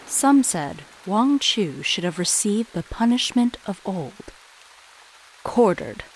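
A wry storyteller in an audio drama takes her time over a murder tale. Her delivery is deliberate and theatrical, with a lively rise and fall. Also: lowish voice, slight background noise, rough recording. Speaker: narrator.

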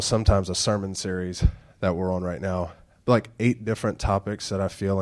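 A man in his thirties, a pastor giving a casual sermon with announcements and dad jokes, talking low, slow and fairly monotone with a stuffed-up nose. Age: 30s. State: stuffed-up nasal congestion.

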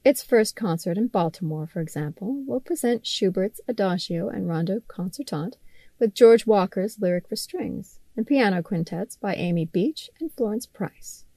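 A woman narrates a written feature article in a mid-range voice. Her phrasing is measured and articulate, with a wry touch and pointed emphasis on key words. The recording is very dry, with almost no room sound. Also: mid-range voice, expressive, warm tone, dry recording. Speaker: magazine writer reading own article. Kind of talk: scripted article narration, read aloud.

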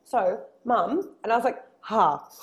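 A woman in her thirties co-hosting a podcast over a headset, telling animated stories about family life. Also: fairly high pitch. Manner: animated storytelling with acted-out quotes. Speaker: podcast co-host. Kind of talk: casual chat. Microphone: headset earphones.

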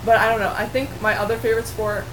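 A woman's voice: a little high, fairly even intonation, fast. A young woman, a manual osteopath and Polish native speaker, chatting casually in an interview, friendly and a bit hesitant, with restarts. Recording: noisy room ambience.

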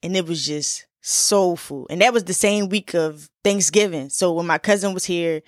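Young woman podcast host, lower voice, chatty and laid-back, dry close sound. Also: normal speed, no echo. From Philadelphia. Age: 20s. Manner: laid-back and chatty, full of fillers and repeats. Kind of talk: casual solo podcast chat.

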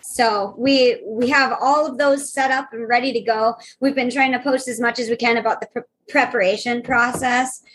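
A high-voiced woman in her early thirties, chatting in a relaxed way as a podcast guest. She fills her sentences with ums and laughs often, and a faint hiss sits under her voice. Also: moderate pace, hardly any pauses, rough recording. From North Dakota, USA. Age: 30s. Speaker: guest traveler. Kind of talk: casual podcast chat.

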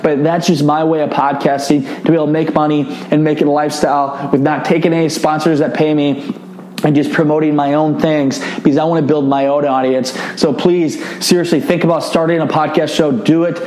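A man with an Iowa accent, an earlier recording of the podcast host, urging listeners with rapid-fire exhortations. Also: on the high side, level tone, darkish tone. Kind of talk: energetic pitch to listeners. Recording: echoey room recording.

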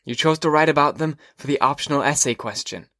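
Man playing a sarcastic old teacher, raised slow lecturing voice, dry sound. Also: fairly high pitch, sharp tone, no echo, voice pushed up.

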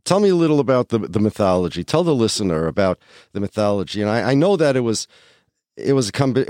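A man's voice: fairly low pitch, a little mellow, no room sound, clean studio sound. A man hosting a podcast interview, asking probing questions in eager, stammering bursts. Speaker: podcast host.

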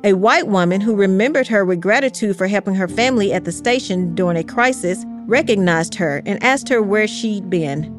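A woman reading scripted history narration in a measured, carefully articulated storytelling way. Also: medium pitch, lively intonation, faint music behind. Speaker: podcast narrator.

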